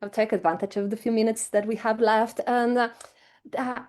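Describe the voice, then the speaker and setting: Woman, mellow voice, asks a long question in halting english. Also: fairly high pitch, level tone. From Bulgaria. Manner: searching for words, light laughs. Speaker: conference audience member asking a question. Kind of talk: academic Q&A question.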